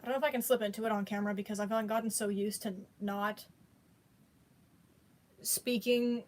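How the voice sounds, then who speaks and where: A woman's voice: medium pitch, fairly even intonation, moderate pace, a little mellow. A woman chatting casually to her viewers, rambling with many fillers and restarts. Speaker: vlogger.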